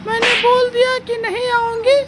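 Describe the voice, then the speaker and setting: A woman playing a sharp-tongued young daughter-in-law who argues back in a comic family sketch. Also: very high-pitched, flat delivery, normal speed, over a noisy crowd. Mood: argumentative. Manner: defiant, argumentative. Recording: loud backing music.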